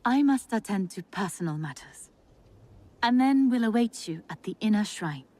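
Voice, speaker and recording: Game noblewoman, feudal Japan, played by an actress: gracious, devout, composed, medium pitch. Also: expressive, moderate pace, a little mellow, a little hiss or hum, natural voice. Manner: formal, composed. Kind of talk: video game cutscene acting.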